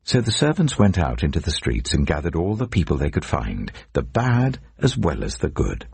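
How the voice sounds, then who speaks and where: Man, Bible narrator, very husky, widely swinging intonation, emphatic storytelling. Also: a bass voice, huge pitch swings, darkish tone, no room sound. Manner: emphatic, storytelling delivery with deliberate stresses. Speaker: scripture narrator. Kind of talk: dramatic scripted Bible narration.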